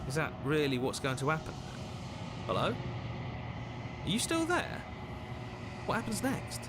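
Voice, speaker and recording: An actor playing a wry, melodramatic narrator and bickering inner voices in a scripted game, theatrical and emphatic. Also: male voice, on the high side, wildly swooping pitch, measured pace, background noise. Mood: wry, uneasy. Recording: loud music behind the voice. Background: loud game music.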